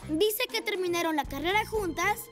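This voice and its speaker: A woman voicing an earnest young child motorcycle in a children's cartoon, speaking clearly and eagerly. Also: very high voice, normal speed, over loud music. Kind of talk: animated children's cartoon dub.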